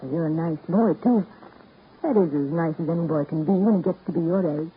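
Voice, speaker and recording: An actress playing an affectionate, forthright sweetheart, teasing and coaxing in a 1930s radio drama. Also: female voice, on the low side, fairly quick, breathy edge. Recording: tinny, noisy 1930s radio recording.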